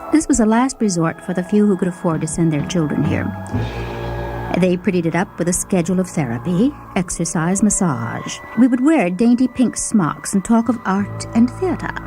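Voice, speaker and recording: A woman's voice: fairly low pitch, lively intonation, normal speed, dark tone, rough recording. Theatrical woman storyteller over music. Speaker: story narrator. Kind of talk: dramatic first-person short story narration.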